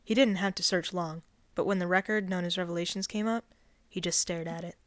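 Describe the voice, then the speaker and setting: A woman narrating a written story in a scripted, expressive reading style. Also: lowish voice, brisk, no room sound. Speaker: fan fiction reader.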